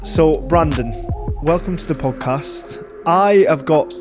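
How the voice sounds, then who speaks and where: A man with a slightly high-pitched voice. He is a podcast host, putting questions to a guest in a relaxed, conversational interview style. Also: takes it slowly, over music.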